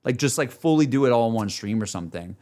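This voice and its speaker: A male game streamer chats off the cuff in a middle-pitched voice. He rambles candidly, working through his thoughts aloud as he addresses his viewers in loose, everyday speech. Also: lively intonation, quick pace, mellow. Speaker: game streamer talking to chat. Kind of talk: casual livestream chat, unscripted.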